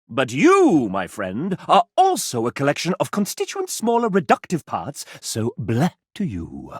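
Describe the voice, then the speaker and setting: An actor voicing a sassy, vain gentleman mascot in a video game, theatrical and pompous, with flamboyant old-fashioned phrasing and a husky edge. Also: a little high, huge pitch swings, unhurried, no echo, studio quality, exaggerated voice. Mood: smug, cheeky. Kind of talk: video game character dialogue.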